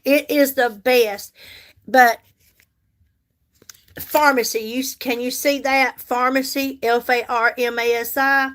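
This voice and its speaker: Woman, 50s, livestream makeup host; high voice, unhurried, chatty, talks to viewers by name. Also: fairly even intonation, fairly bright tone. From United States. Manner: chatty, reads and answers viewer comments, repeats herself for emphasis. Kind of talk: casual livestream chat while doing makeup.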